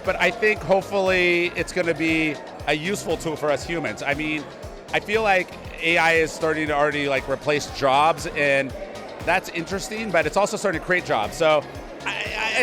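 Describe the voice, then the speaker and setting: A man's voice: a little high, brisk. A man giving a casual event interview, upbeat and emphatic, often repeating his points. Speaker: interview guest. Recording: music playing loudly behind.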